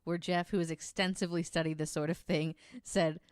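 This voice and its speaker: A woman's voice: fairly low pitch, flat delivery, a little fast, dry recording, studio quality. A woman co-hosting a podcast, telling true-crime stories in a casual, amused, informal and sweary way. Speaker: podcast co-host.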